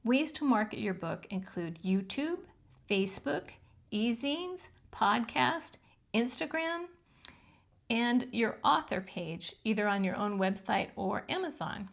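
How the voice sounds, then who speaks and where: A woman over fifty with a high-pitched voice, giving frank, chatty advice on self-publishing with plenty of ums. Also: animated, slow, gravelly. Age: 50s or older. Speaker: channel host teaching self-publishing. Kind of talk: casual instructional talk to camera.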